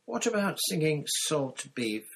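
Man voicing an adventurous young girl, pitched up. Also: big pitch swings, unhurried, fairly bright tone, a little hiss or hum, full character voice. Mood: eager.

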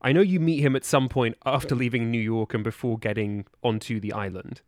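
A man's voice: medium pitch, fairly even intonation, fairly quick, no echo, studio quality. A man on a podcast in casual discussion of a book, hesitant and often circling back to clarify. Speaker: podcast panelist.

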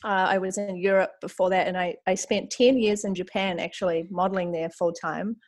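A woman in her forties with a New Zealand accent, talking as a podcast guest. Her pitch sits at an ordinary middle level. She chats freely, full of fillers, and often acts out her own past thoughts. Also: fairly even intonation, a little fast, a little mellow. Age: 40s. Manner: chatty, lots of fillers and quoted self-talk. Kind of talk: casual interview chat.

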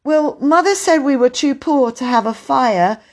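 A woman's voice: high voice, a little slow, voice pushed up. A woman voicing a proud, stubborn young boy in a storybook, earnest and huffy as he justifies himself. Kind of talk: storybook character voice.

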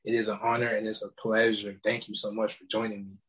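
A man's voice: lowish voice, level tone, fast, a bit bright, poor audio. A man hosting a casual interview podcast, eager and deferential, often answering "yes, ma'am". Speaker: podcast host.

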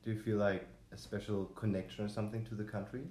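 Male podcast host, low warm tone, rambling delivery full of fillers. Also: level tone, fast, echoey room, slight background noise. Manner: rambling, many fillers and restarts. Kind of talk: casual conversational podcast.

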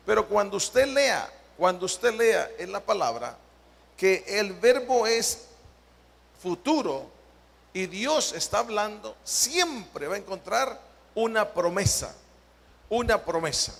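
A Salvadoran man preaching to his congregation in a slow, deliberate way, drawing out key words and putting questions to the people. His voice sits high and has a gravelly edge. The sound rings out with the long reverb of a church hall. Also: animated, a bit bright. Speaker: pastor. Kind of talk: sermon.

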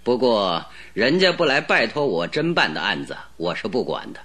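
A shrewd, self-assured detective from an old radio play. He presses and mocks with cool precision, his bright voice rising and falling in lively swings. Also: lowish voice, moderate pace, heavily acted. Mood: coolly taunting. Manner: probing, mocking, coolly pressing. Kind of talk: radio drama acting.

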